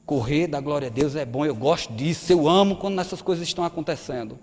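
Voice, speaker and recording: A man's voice: fairly high pitch, fast, a little mellow. Thirties male preacher with a northeastern Brazilian accent, chatty sermon delivery, recorded in a church hall with a long echo. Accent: Brazilian Portuguese, northeastern. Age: 30s. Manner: conversational preaching, rhetorical questions to the audience.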